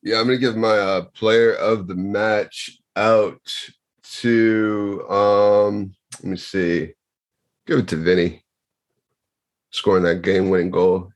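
A man with a fairly low voice co-hosts a soccer podcast, full of playful teasing and quick jokes. He talks loosely and casually with his co-hosts. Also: little pitch movement. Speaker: podcast co-host. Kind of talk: casual sports chat.

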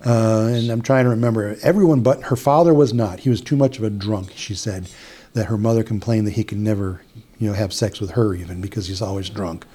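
Mellow-voiced Midwestern man telling a story. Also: lowish voice, a little fast. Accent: Upper Midwest American. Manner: chatty, with frequent restarts and quoted dialogue. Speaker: hypnotist guest. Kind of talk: casual storytelling in an interview.

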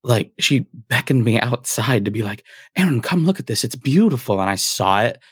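A man's voice: medium pitch, sing-song, moderate pace, breathy edge, dry recording, clean studio sound. A young man guesting on a podcast, talking in a laid-back way, laughing and swearing casually. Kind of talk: casual chat.

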